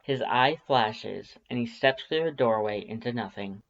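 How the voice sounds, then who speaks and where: A woman's voice: very deep voice, unhurried. Woman narrator, slightly muffled recording. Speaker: fan-fiction narrator. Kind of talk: scripted story narration.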